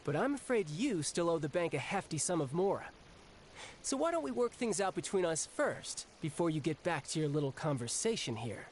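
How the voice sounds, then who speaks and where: A man's voice: a little high, big pitch swings, brisk, a little hiss or hum. An actor playing a cocky young male bank agent in a video game, smug, teasing and easygoing. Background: game music.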